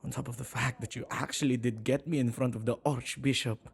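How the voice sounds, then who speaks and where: A man playing a flustered, self-neglecting male witch in an ASMR audio roleplay, speaking intimately and haltingly to the listener. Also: medium pitch, steady tone, creaky, husky. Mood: relieved, flustered. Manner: hesitant, halting, with sighs and murmured asides.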